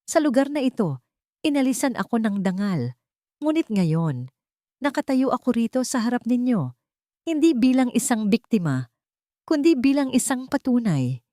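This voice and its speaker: A woman's voice: fairly high pitch, sing-song, moderate pace, long pauses, warm tone, no echo, clean studio sound, pitched above the performer's own voice. A woman voicing a resolute, hopeful young mother in a dramatic story, speaking in firm, solemn declarations. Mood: impassioned, resolute. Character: resolute young mother, once victim, now hopeful leader.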